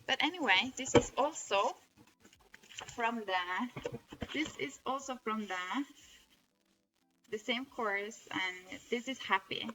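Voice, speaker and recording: A woman's voice: on the high side, takes it slowly, pauses often, background noise. A woman with a Norwegian accent, a native Norwegian speaker, talking through her experience in an earnest, rambling way, with many restarts. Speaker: vlogger. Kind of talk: casual reflective monologue.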